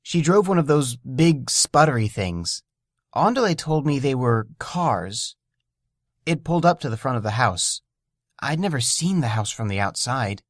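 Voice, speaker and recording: A man narrating a scripted story in a gentle, wondering way. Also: medium pitch, lively intonation, unhurried, no room sound, clean studio sound.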